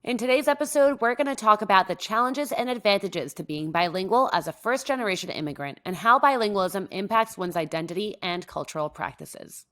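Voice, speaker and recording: A young adult woman from Mexico co-hosting a podcast, upbeat and conversational, reading facts at a brisk pace. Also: medium pitch, expressive, fairly bright tone. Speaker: podcast co-host. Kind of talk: casual podcast chat with scripted intro.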